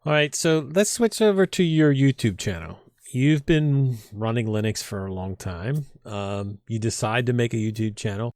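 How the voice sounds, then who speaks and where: A man with a mid-range voice hosts a casual interview, relaxed and friendly in his talk. His voice sounds dry and close to the microphone. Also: medium pitch, normal speed, few pauses, dry recording. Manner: relaxed, friendly, says dude. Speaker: podcast host.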